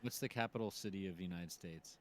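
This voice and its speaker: A man's voice: deep voice, fast talker. A man in casual banter, teasing and throwing in short quips and questions. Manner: teasing, interjecting.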